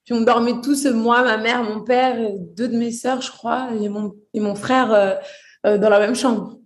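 A woman's voice: on the high side, steady tone, measured pace. A young woman from Portugal talking about her life as an interview guest, hesitant, with many fillers and restarts. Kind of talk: casual interview chat.

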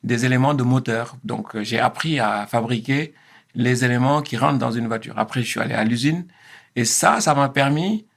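Gravelly-voiced man in his fifties, hesitant. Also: medium pitch, normal speed, a bit bright. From Senegal. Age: 50s. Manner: hesitant, many fillers and restarts. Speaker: guest. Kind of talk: interview answers.

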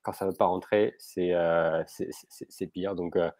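Male guest writer, lower voice, dry sound, halting casual talk. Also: steady tone, a little mellow, no room sound. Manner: halting, lots of restarts. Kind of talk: casual chat.

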